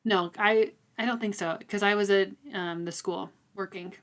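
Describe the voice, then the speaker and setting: A woman's voice: mid-range voice, steady tone, normal speed. A woman in her thirties with an American accent, co-hosting a casual book chat and explaining things in order. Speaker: podcast co-host.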